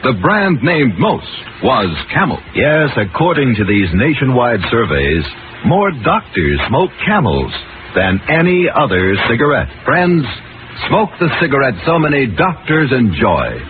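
A man's voice: mid-range voice, big pitch swings, measured pace. A man reading a mid-century radio commercial as an announcer, with emphatic, persuasive sales delivery. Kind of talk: vintage 1940s-50s radio ad, scripted narration. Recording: old radio recording, narrow band, noisy.

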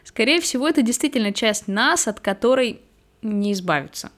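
A woman hosting a podcast, asking her guests articulate, measured questions. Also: on the high side, animated, a bit bright. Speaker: podcast host. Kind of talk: interview questions.

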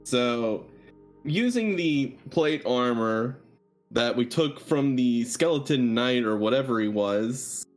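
A man with an average-pitched voice speaks as a player in a tabletop game. He recounts his character's deeds in deliberate, measured phrases. There is faint music behind him. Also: lively intonation.

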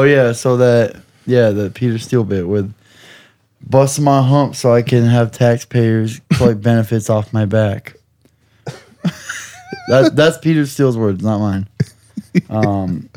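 A young man hosting a podcast, hoarse from screaming, talking in a rambling, profane, jokey way. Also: medium pitch, unhurried, mellow, dry recording. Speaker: podcast host. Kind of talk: casual chat.

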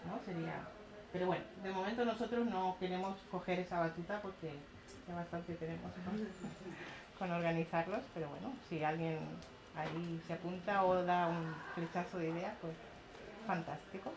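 A middle-aged Chilean woman with a somewhat low voice recounts her neighborhood projects, laughing easily and acting out what others said. The room is echoey, with a faint hum of background noise behind her. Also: fairly even intonation, normal speed. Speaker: community project organizer. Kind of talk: public talk with audience questions.

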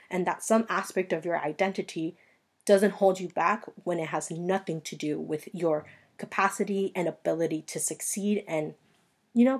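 A woman in her twenties whose first language is Spanish hosts her own podcast. She tells personal stories in an earnest way and often speaks straight to her listeners. Her voice sits a little low. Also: a little mellow, slightly rough. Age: 20s. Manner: earnest personal storytelling, addresses listeners directly. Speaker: podcast host. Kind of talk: solo podcast monologue.

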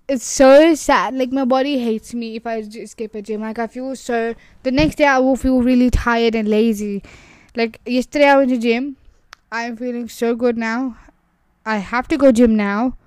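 A woman's voice: on the high side, smooth, dry recording. A young woman whose first language is Urdu, speaking with an Urdu accent in a rambling solo podcast talk full of "like" fillers and restarts. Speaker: podcast host. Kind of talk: casual solo podcast monologue.

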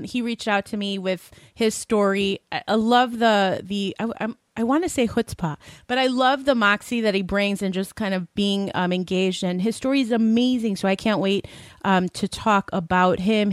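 A woman co-hosting a podcast, enthusiastic and halting as she introduces and questions a guest. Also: medium pitch, steady tone, dry recording, clean studio sound. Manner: enthusiastic, halting, many fillers. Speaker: podcast co-host. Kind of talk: casual interview hosting.

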